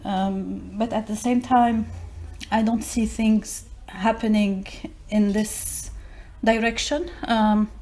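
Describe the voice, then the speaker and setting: A woman from Palestine asking a question in an online webinar, speaking haltingly with many pauses and restarts over a video call. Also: mid-range voice, fairly even intonation, darkish tone. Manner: hesitant, halting, full of fillers and restarts. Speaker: audience member asking a question.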